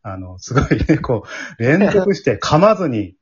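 Husky, lively Kansai man hosting. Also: mid-range voice, moderate pace, muffled recording. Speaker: host and scriptwriter of an amateur radio drama group. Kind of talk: casual after-show talk.

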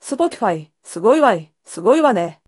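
A woman's voice: on the high side, big pitch swings, moderate pace, a little mellow, breathy edge, no echo, studio quality. A woman giving short calls and interjections on a podcast.

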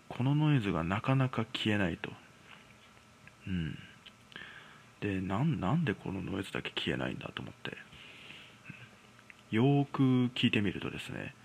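A low-voiced man tells a ghost story as if chatting with friends. His delivery swings expressively up and down, with a creaky edge, and he hesitates conversationally as he feels his way through the tale. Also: a little hiss or hum. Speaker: storyteller host. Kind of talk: casual spoken ghost story telling.

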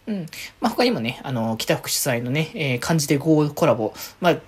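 Woman, lowish voice, very lively swooping intonation, casual solo podcast host, easygoing, conversational. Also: brisk, slightly rough. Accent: Japanese, from Aichi. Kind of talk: casual solo chat, recapping the week.

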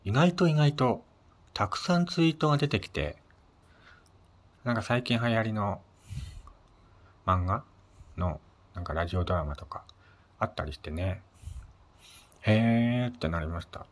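Guy with a low voice doing a chatty solo podcast, polite, pauses w little fillers. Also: animated. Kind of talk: casual solo radio chat.

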